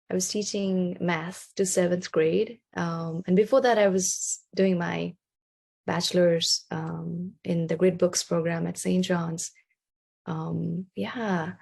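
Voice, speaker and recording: Creaky-voiced woman. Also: lowish voice, steady tone, slow pace. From Nepal. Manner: soft-spoken, hesitant, many ums. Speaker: graduate student. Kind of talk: casual talk in an online info session.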